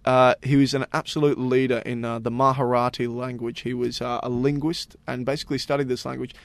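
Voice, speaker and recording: A man's voice: medium pitch, flat delivery, fairly quick, vocal fry, no echo. Guy on a podcast rambling through a story, medium voice, dry sound, says uh and you know a lot. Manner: chatty, lots of fillers like "you know" and "uh". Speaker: podcast co-host presenting stories. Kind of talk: casual group chat.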